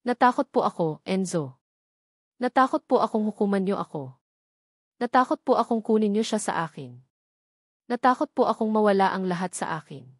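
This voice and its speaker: A woman's voice: mid-range voice, expressive, normal speed, pauses often, no room sound, close to an everyday voice. A sorrowful young mother in a romantic drama, voiced by a woman narrator. She pleads respectfully and fearfully, in a dry recording. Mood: tearful confession. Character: fearful, guilt-ridden, deferential young mother.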